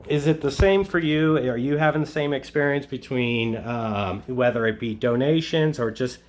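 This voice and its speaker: A man conducting a casual group interview, halting and rephrasing his questions as he goes. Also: medium pitch.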